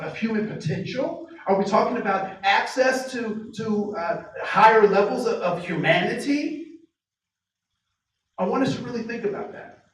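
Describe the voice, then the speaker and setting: A man giving a workshop to a live group, asking the audience many rhetorical questions and slowing down to stress key phrases. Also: sits high. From United States. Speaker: diversity trainer. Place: training room with tables. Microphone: distant mic picking up the room. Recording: very echoey room, speaker far from mic, faint noise.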